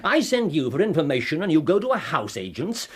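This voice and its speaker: A man's voice: fairly high pitch, sing-song, brisk, breathy edge. A British man acting in a radio drama as a brilliant, impatient consulting detective, incisive, theatrical and sardonic as he probes. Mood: scornful, exasperated.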